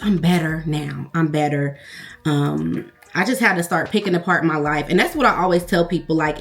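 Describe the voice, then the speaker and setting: A woman, chatting casually and confidingly with her viewers while doing her makeup at home. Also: lowish voice. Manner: chatty, confiding, addresses viewers as girl and y'all. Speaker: beauty vlogger. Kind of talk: casual get-ready-with-me chat.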